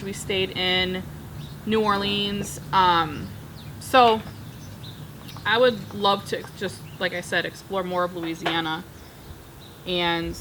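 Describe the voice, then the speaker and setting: A woman's voice: a little high, sharp tone, a little hiss or hum, low-quality recording. A woman in her thirties chatting casually as she repots plants outdoors on a backyard patio, recorded by a camera across the table. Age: 30s. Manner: chatty, upbeat, talks while working with her hands. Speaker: plant vlogger. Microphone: camera framing from across a table.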